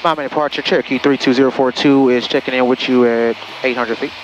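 Young American male pilot, mid-pitched, casual vlog chat and radio calls over a headset, music underneath. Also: steady tone, fast talker, dull audio. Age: young adult. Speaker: private pilot and vlogger. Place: small plane cockpit in flight. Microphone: aviation headset.

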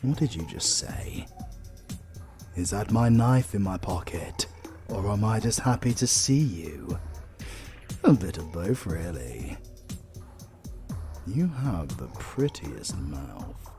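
A man's voice: low-pitched, sing-song, music in the background, full character voice. A British man playing an arrogant, flirtatious supervillain in a romantic comedy audio roleplay, theatrical and easily exasperated. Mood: flustered, annoyed. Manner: theatrical, swinging from slow menace to exasperated outbursts. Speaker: voice actor.

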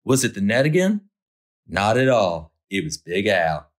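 A man's voice: on the low side, big pitch swings, measured pace. A man narrating a children's picture book as a storytime host, expressively, drawing out key words for effect.

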